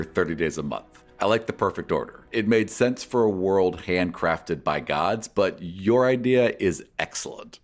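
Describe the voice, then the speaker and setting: A man hosting a podcast, reading out and answering listener questions in an articulate way. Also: deep voice. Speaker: podcast host and game master.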